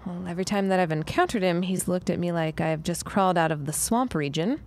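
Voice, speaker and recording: A woman's voice: on the low side, fairly quick, natural voice. A woman playing a frank, plain-spoken, dryly witty working woman in a period audio drama. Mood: curious, frank.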